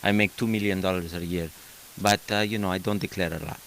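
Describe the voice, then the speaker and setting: A man's voice: deep voice, fairly even intonation, fast talker, a bit bright, slight background noise, low-quality recording. A man voicing a boastful male Greek restaurant owner with a Greek accent and broken English.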